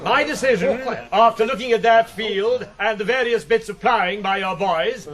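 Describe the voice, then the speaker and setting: A male actor playing a plain-spoken match judge making a formal announcement to gentlemen in a radio drama. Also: sits high, normal speed, a crowd in the background, lightly acted. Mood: hearty, approving. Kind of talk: radio drama speech to a gathering.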